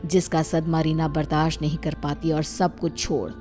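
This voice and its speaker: A woman narrator reads a scripted story. Her voice is low and stays even, with little movement in pitch. Music plays softly underneath. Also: moderate pace. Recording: music behind the voice.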